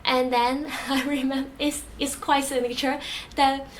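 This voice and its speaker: A woman's voice: high voice, flat delivery, unhurried, a little hiss or hum. Hesitant Hong Kong-accented young woman. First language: Cantonese. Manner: hesitant, many fillers and restarts, soft-spoken. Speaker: interviewee. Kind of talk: personal storytelling, answering questions.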